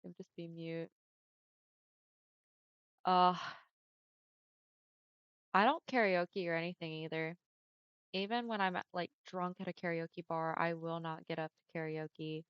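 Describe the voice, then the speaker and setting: Chatty woman streamer, smooth deeper voice. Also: steady tone, a little slow, long pauses, no echo. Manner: chatty, friendly, often laughing. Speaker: livestreamer. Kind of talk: casual chat with viewers. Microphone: headset mic. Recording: dull lo-fi headset mic.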